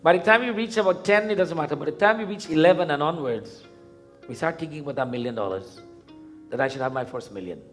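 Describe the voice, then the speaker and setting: High-voiced Guyanese man preaching, music behind. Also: animated. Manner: didactic, questioning, deliberate repetition. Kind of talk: interactive sermon. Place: live event in a temple before a congregation.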